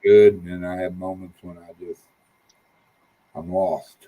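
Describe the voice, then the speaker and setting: Husky, folksy man. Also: deep voice, flat delivery, slow pace, narrow, tinny audio. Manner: rambling, folksy, easygoing. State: a little tipsy. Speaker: livestream guest. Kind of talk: casual livestream chat.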